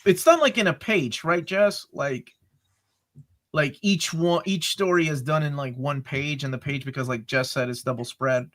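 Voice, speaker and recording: A man's voice: fairly high pitch, normal speed. A man on a comics podcast panel, chatting casually and asking the others questions, with many fillers. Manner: chatty, lively, asks questions, many likes and ums. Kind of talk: casual chat.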